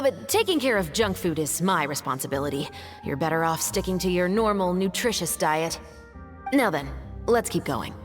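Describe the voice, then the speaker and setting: Low-voiced, composed patrol captain. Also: female voice. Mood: dry, playful. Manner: measured, explanatory, politely firm. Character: composed, methodical young female patrol captain investigator. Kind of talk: video game voice acting. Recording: music playing behind the voice.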